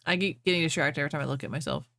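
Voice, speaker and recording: A woman with a fairly low voice streams to her viewers. She chats casually and reads stories aloud. The sound is dry, with very little room in it. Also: very fast, fairly bright tone, no room sound. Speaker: streamer reading fan fiction to viewers. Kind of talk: casual livestream chat and reading aloud.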